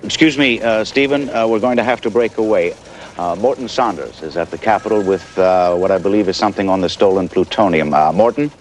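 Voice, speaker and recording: A very deep-voiced male news anchor, played by an actor holds a crisis bulletin together. He is composed and measured, halting as he goes, with slight background noise. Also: close to an everyday voice. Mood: composed, urgent. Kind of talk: live news bulletin acting.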